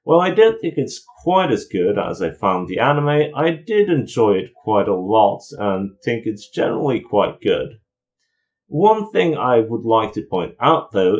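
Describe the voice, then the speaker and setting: A man's voice: fairly low pitch, big pitch swings, unhurried, muffled recording. A man giving an opinionated, explanatory video review in an informal commentary style.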